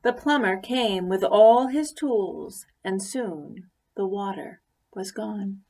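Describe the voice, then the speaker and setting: A woman reading a children's story aloud expressively, deliberately, with pauses for emphasis. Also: mid-range voice, warm tone. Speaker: story reader. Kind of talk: expressive picture-book read-aloud.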